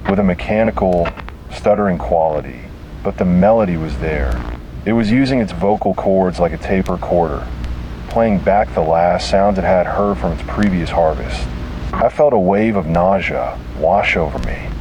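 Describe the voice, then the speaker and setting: A man with a low, deep voice narrates a scripted horror story in the first person. He delivers it dramatically, in short and deliberate phrases. Clear rumbling background noise sits behind the voice.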